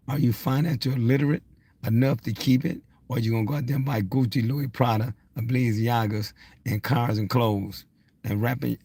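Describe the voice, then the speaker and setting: Man around sixty, raspy and creaky, dry close sound, blunt motivational ranting, insistent, repetitive. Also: medium pitch, warm tone, no echo. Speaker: social media motivational speaker. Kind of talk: unscripted motivational rant to camera.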